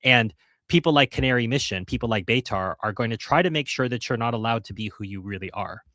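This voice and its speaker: Host, male, mid-range pitch, earnest livestream chat with wry asides, dry close mic. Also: quick pace, fairly bright tone, no echo. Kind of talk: casual livestream commentary, reading chat.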